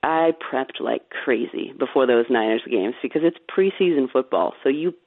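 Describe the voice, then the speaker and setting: Woman broadcaster, naturally low voice, frank and easygoing with quick laughs, heard over a thin phone-quality line, dry. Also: lively intonation, slightly rough, no echo. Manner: laughs easily, frank, self-assured. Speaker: play-by-play sportscaster, guest. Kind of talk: candid interview answers.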